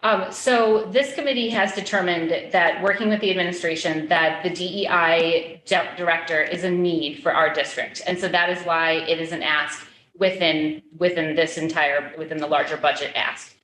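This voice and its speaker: A woman's voice: fairly low pitch, steady tone, normal speed, a bit bright, echoey room, slight background noise, low-quality recording. A middle-aged woman chairing a school committee forum, answering questions earnestly with many fillers and restarts. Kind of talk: public meeting, answering questions.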